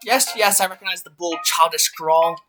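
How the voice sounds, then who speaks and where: A man's voice: sits high, normal speed, lightly acted. A man voicing a bossy, suspicious female security guard in a game dub, with brusque, scolding delivery. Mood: grudging, bossy. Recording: loud game music behind.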